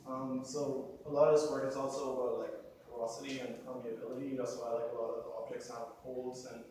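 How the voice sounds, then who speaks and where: A man's voice: medium pitch, level tone, fairly quick, dark tone. Echoey, distant man giving an artist talk. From born in Haiti, grew up in the Bahamas. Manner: thinking aloud, peppers phrases with "like" as filler. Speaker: visual artist presenting his work. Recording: echoey room, distant mic, clear background noise.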